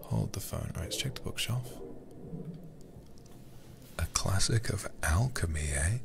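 A man streaming a game in ASMR style, murmuring in a hushed, breathy, gravelly whisper close to the microphone. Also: low-pitched, expressive, a little slow, background noise. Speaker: livestream host, gamer.

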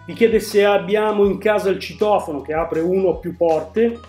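A man's voice: high-pitched, moderate pace, darkish tone. A man, presenting a product to camera in a casual, explanatory way. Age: 40s. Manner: explanatory, conversational. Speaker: tech channel host. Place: small room at home, indoors. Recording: echoey room, music underneath.

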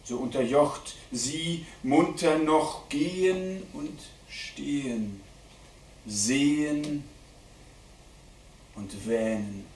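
A man giving a live dramatic poetry reading at a literary event, declaiming deliberately with strong shifts between hushed and loud delivery. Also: on the high side. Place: indoor literary event venue at a theatre. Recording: roomy live hall recording, distant mic, faint noise.

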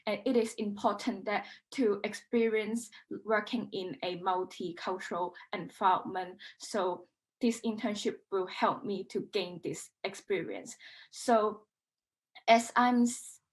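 A woman's voice: medium pitch, unhurried. Young woman on a video call, lots of ums, unsure. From Hong Kong. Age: young adult. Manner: hesitant, many ums. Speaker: intern.

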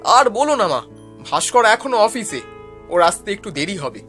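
A man's voice: high-pitched, big pitch swings, moderate pace, sharp tone, soft background music, exaggerated voice. A man playing an eager, chummy young man hosting old friends in a cartoon story. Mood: friendly. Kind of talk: cartoon voice acting.

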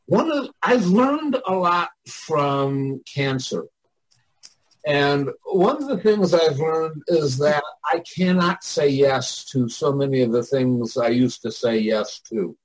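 An older man, a coach and mentor, talking casually and reflectively in a candid way with pauses. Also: fairly high pitch, lively intonation, low-quality recording.

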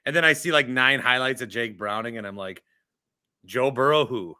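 A man's voice: mid-range voice, moderate pace, bright, forceful tone, clean tone, no room sound, studio quality. An American man in his thirties hosting a sports podcast, chatting casually into a desk microphone at home. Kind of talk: casual chat. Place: small home room with framed pictures, video call. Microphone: desk microphone close in front.

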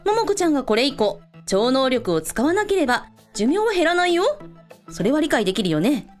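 A woman's voice: high-pitched, big pitch swings, brisk, soft background music. A woman playing a confident, caring hotel owner in a radio drama, casually authoritative with everyone. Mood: reassuring. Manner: casually authoritative, blunt with staff. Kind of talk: scripted radio drama acting.

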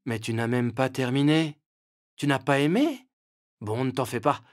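A man voicing a cheerful, greedy male fox in a children's cartoon, talking in a lively, eager way. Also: medium pitch, moderate pace, natural voice. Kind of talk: French children's cartoon dubbing. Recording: music bed under voice.

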